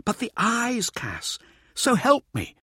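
An eager showman of a scientist , acted out by a male audiobook reader, pitched far up from a normal male register. He lectures and presses his listeners theatrically, with big swings in pitch and clean, dry sound. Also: huge pitch swings, takes it slowly, pushed and bright, breathy edge, dry recording, exaggerated voice. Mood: intense. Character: eager, showboating male expedition scientist, excitable and insistent. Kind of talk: audiobook character dialogue.

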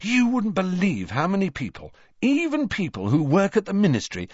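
An echoey, slightly dull recording of a brash young salesman, acted out by a man reading a storybook. His cheeky patter swoops wildly up and down in an eager, raised pitch. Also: huge pitch swings, moderate pace, husky, full character voice. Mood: eager, chatty. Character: brash, excitable young male joke-shop salesman twins. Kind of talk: audiobook character voice.

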